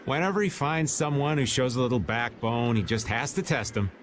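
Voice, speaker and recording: An actor playing a cocky, whiny middle-aged rogue in a video game, theatrical and complaining, with an American accent. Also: male voice, mid-range voice, a little fast, very noisy, lightly acted. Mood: cocky, exasperated.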